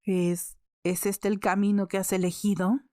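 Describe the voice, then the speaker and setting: A woman's voice: medium pitch, level tone, a little slow, dark tone, no room sound, studio quality, pitched below the performer's own voice, natural voice. Woman reading as a solemn, weary emperor. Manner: grave, restrained. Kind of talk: audiobook character dialogue.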